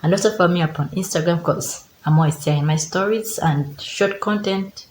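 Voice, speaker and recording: Low-voiced Ugandan woman vlogging in an echoey room. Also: normal speed, darkish tone, slight background noise. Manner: chatty, repeats herself, reads from notes. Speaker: travel vlogger. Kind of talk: direct-to-camera travel advice vlog. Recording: echoey room with faint ambience.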